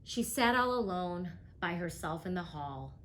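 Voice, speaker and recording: A woman reading a rhyming picture book aloud as a guest reader, with a rhythmic, expressive delivery. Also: on the low side, a little slow, a little hiss or hum.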